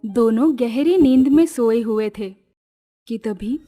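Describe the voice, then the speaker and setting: A woman's voice: fairly high pitch, slow pace, dark tone. A woman narrating a scripted horror story as a storyteller. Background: music.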